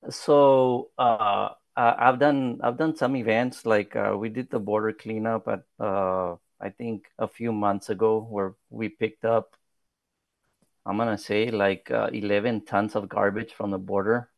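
A man in his thirties with a Spanish accent, speaking English as his second language. His low voice has a gravelly fry and sounds dry and close, with no room around it. He talks in a shy, halting way, full of fillers and restarts. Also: steady tone, no echo. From South Texas. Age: 30s. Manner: hesitant, many fillers and restarts; modest, soft-spoken. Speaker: political candidate. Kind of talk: podcast interview.

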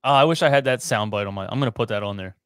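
A man's voice: on the low side, very fast, a bit bright, clean tone, no room sound, clean studio sound. Casual, slangy male sports stream co-host. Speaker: livestream co-host. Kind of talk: casual sports livestream chat.

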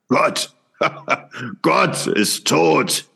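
A man playing a mad, run-down philosopher in a surreal audio drama, proclaiming in a dramatic, drawn-out way. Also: fairly high pitch, animated, gravelly, pushed and bright, husky, full character voice. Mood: mad, triumphant. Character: mad, run-down male philosopher with a monstrous moustache.

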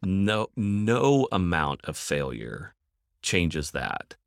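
A middle-aged man, a counselor and evangelist, answering in a podcast interview in a measured, thoughtful way and repeating words as he thinks. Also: sits low, big pitch swings, creaky, no echo, clean studio sound.

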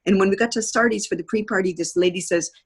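A woman's voice: mid-range voice, fast talker, slightly rough. A woman speaking as a podcast guest in a casual interview, telling anecdotes in a chatty way and acting out remarks. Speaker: podcast guest, theatre producer. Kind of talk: animated storytelling in casual interview.

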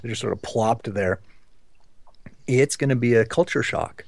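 A man's voice: medium pitch, unhurried, gravelly, no room sound, clean studio sound. A man co-hosting a podcast, chatting casually with wry remarks and interjections. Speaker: podcast co-host. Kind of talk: casual discussion.

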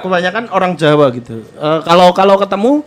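A man's voice: high voice, a little fast. A man from East Java with a Javanese accent, chatting casually as a co-host and often restarting his words. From Lamongan, East Java. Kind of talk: casual chat.

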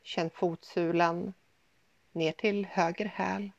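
A woman guiding a yoga nidra body scan in a soothing, gentle, unhurried manner with pauses. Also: on the low side, level tone, vocal fry, no room sound, muffled recording. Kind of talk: guided meditation, body scan.

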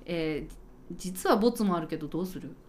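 A woman hosting a podcast in casual chat, playful and quick to laugh. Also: lowish voice, expressive, darkish tone. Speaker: podcast host. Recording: faint music behind.

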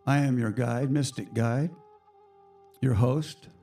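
A hoarse male radio host speaks slowly and reflectively, talking straight to his listeners about spiritual matters. His voice is gravelly and mellow. Faint music plays behind him. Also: mid-range voice. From United States. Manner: slow, reflective, chatty with the audience.

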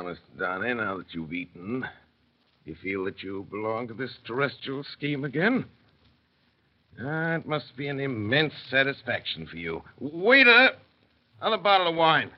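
Man acting a sardonic drunken gentleman, swooping voice. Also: medium pitch, natural voice. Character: dissolute, bitter, hard-drinking English gentleman, a self-loathing drudge. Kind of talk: 1930s radio drama acting. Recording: muffled old radio broadcast.

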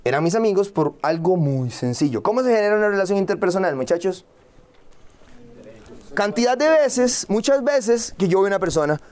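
A man's voice: high-pitched, darkish tone. Young Costa Rican man, motivational speaker. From Costa Rica. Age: young adult. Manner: rhetorical questions, acts out quoted voices. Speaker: guest speaker, entrepreneur. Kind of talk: motivational seminar talk to an audience. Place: room with a live audience.

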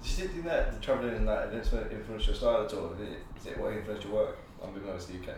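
Fast-talking interviewer guy, lowish voice, way off mic in a big echoey room. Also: a little mellow, distant mic, a little hiss or hum. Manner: short encouraging prompts. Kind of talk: casual interview.

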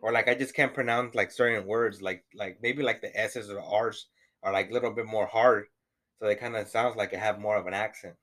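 Field: rambling low-voiced man. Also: fairly even intonation, brisk, fairly bright tone. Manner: rambling, lots of "like" and "you know", swears. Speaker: podcast co-host. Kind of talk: casual couple chat.

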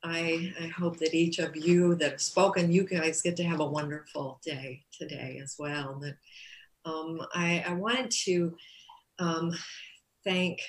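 Soft-spoken woman with halting thanks. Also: deep voice, fairly even intonation, echoey room, low-quality recording. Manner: halting, many fillers and restarts. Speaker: church leader closing a service. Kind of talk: grateful closing remarks.

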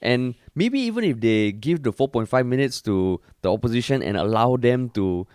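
A man's voice: medium pitch, expressive, moderate pace, a little mellow, smooth, no room sound. Male podcast co-host, Singaporean accent, mid-range voice, dry recording, informal talk with casual swearing. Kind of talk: casual conversational political chat.

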